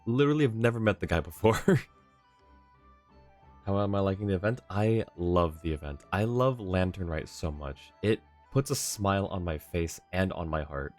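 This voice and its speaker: A man's voice: low-pitched, lively intonation, moderate pace, a little mellow. A man giving casual, laid-back live stream commentary as he plays a video game. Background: faint game music.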